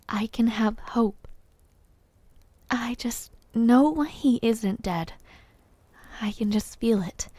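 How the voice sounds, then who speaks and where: A woman performs a royal mother in an intimate audio drama, wistful and gently teasing. Her voice is low-lit and mellow, with slow, measured phrasing that often softens toward a whisper. The sound is very dry, with no room around it. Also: no echo, heavily acted. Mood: grieving, hopeful. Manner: measured, soft-spoken, often dropping to a whisper. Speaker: voice actor in audio roleplay. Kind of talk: intimate audio roleplay acting.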